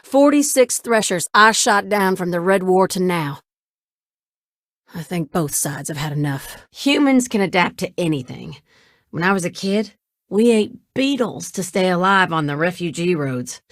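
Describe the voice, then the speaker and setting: A woman's voice: on the low side, expressive, measured pace, dry recording, clean studio sound. An actress voicing a spirited young woman ship pilot and mechanic in a video game, with casual, familiar, folksy banter. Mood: resolute, frank. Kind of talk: video game character dialogue.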